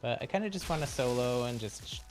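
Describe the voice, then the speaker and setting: A man's voice: medium pitch, lively intonation, quick pace, background noise. A man chatting casually and in a friendly, welcoming way to viewers while streaming a game. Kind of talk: casual live gaming chat and commentary. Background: game music.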